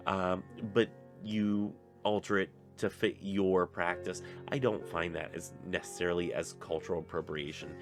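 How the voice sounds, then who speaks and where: Young man, very deep voice, congested, casual rambling book chat over soft guitar. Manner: rambling, many fillers and restarts. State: stuffy nose. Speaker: book reviewer on a pagan channel. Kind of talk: casual spoken book review.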